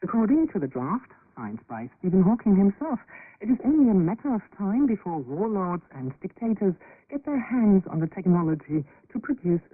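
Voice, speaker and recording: A woman's voice: on the low side, breathy edge, no room sound. A woman hosting a radio magazine show, reading from a script. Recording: muffled, narrow-band shortwave radio broadcast.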